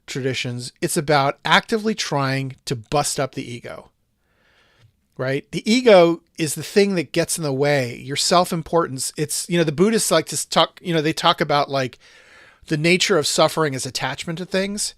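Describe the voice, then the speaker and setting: A man's voice: a little high, moderate pace, a bit bright, no room sound, clean studio sound. A man hosting a casual discussion podcast, thinking aloud in long, rambling sentences full of restarts and asides. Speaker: podcast host.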